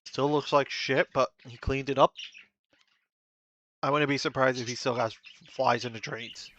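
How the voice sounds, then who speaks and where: A man's voice: mid-range voice, fairly even intonation, normal speed, a bit bright. A man reacting to a video in casual commentary, blunt and crude, repeating his phrases as he talks. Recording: slightly dull dry recording.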